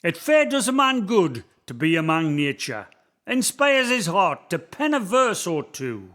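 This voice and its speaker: A man's voice: sits high, sing-song, a little slow, a bit bright, full character voice. A man playing a cheery Northumbrian roadman ghost. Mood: warm, folksy. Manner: folksy, reciting verse, reassuring. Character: cheerful old roadman ghost, poetic, kindly. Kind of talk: community radio play acting.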